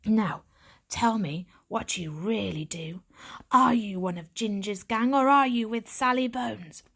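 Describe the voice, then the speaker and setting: A hard-bitten female street cat, put on by a woman reading a storybook aloud, growls her questions in a low, gravelly whisper. She sounds curt and scornful, all suspicion and no patience. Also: measured pace, a bit bright, heavily acted. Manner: gruff, curt, snapping questions.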